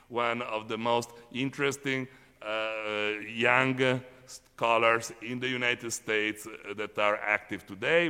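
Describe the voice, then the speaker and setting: A man's voice: mid-range voice, pushed and bright, clear, resonant tone. A man chairing an academic panel, introducing the next speaker formally with some hesitant fillers. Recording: lecture hall reverb.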